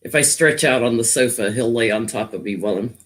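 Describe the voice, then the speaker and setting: A man with a naturally loud voice, a tarot reader chatting casually on a live stream from home, talking into a laptop from a little way back. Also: mid-range voice. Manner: chatty and rambling, quick to laugh. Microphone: laptop, sitting back from it.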